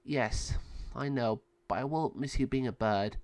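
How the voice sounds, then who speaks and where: A man's voice: mid-range voice, measured pace, darkish tone, natural voice. A man voicing a brave, devoted male bird companion in a children's storybook, earnest and emphatic. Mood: relieved, wistful.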